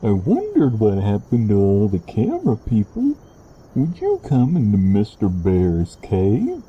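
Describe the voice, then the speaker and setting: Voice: medium pitch, wildly swooping pitch, a little slow, slightly rough, dull audio, heavily acted. An actor voicing a big male bear monster with a creepily sweet, coaxing, falsely friendly manner in a comedy sketch. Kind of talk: comedy sketch character acting.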